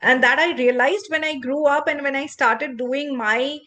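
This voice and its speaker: High-voiced woman coaching English fluency. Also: normal speed. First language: not English. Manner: expressive, emphatic, teacherly. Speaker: podcast host and English coach. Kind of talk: instructive podcast conversation.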